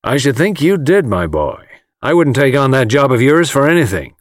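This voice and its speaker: Persuasive, hearty chum, played by a male narrator, lively intonation, slightly lifted pitch, blunt storybook adventurer. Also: moderate pace, no echo, clean studio sound. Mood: chummy. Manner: blunt, chummy. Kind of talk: audiobook character voice.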